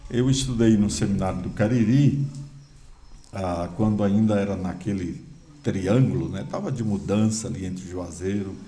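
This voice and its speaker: A man in his sixties from Bahia with a Northeastern Brazilian accent, a guest pastor giving a folksy, unhurried personal testimony in a church hall. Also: lowish voice, dark tone. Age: 60s. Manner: folksy, unhurried, many filler pauses. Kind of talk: sermon introduction, personal testimony. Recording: roomy church echo.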